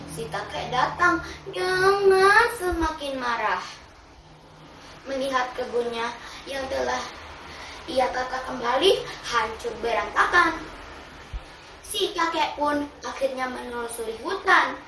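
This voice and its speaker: A child of about ten reciting a children's fable as a storyteller, with deliberate, dramatic phrasing. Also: high voice. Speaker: storytelling contest participant. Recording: roomy, distant mic, clearly noisy ambience.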